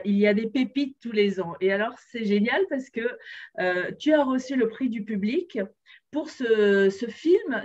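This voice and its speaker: A woman hosts an interview show in a relaxed, chatty way, often pausing on fillers. Her voice sits a little high. The sound is slightly dull and lo-fi. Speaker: host. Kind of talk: casual interview.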